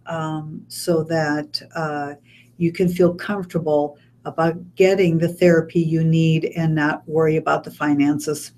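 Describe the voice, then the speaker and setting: A woman with a Midwestern American accent talks in a slightly low voice, laying out details in a steady, explanatory way. She is a speech pathologist sitting in a radio studio as a guest. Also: slight background noise. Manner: measured, informative, explains step by step. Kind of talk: radio interview.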